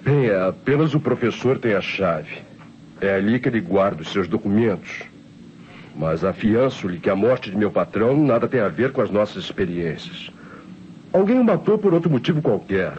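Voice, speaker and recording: An actor playing a nervous, defensive laboratory assistant with a Brazilian accent in an old radio drama. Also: male voice, fairly low pitch, sing-song, moderate pace, darkish tone. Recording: dull, noisy old radio recording.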